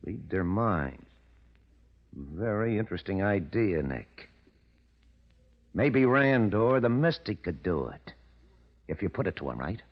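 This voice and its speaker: An actor playing a sly, needling press agent who goads a gangster, in 1940s radio drama style. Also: male voice, lowish voice, sing-song, takes it slowly, pauses often, full character voice. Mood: scheming, persuasive. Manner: insinuating, needling, smooth-talking schemer. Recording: dull vintage radio broadcast.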